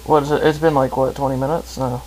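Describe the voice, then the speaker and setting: A man's voice: mid-range voice, level tone, fast talker, a little mellow, slight background noise, rough recording. A man chatting casually as co-commentator on a video game playthrough.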